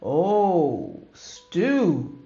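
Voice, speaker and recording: A woman's voice: fairly low pitch, wildly swooping pitch, drawn-out words, warm tone, roomy sound, heavily acted. A woman voicing a polite, hungry male hot dog vendor from a storybook, with savoring, courteous delivery. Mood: curious, delighted. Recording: dull lo-fi audio with music behind.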